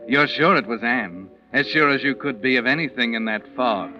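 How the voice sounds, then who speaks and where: An actor playing an ominous, all-knowing mystery narrator in 1940s radio suspense style. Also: male voice, medium pitch, moderate pace, natural voice. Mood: ominous, taunting. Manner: ominous, addressing the character as "you". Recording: dull old 1940s radio recording, organ music. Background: dramatic music.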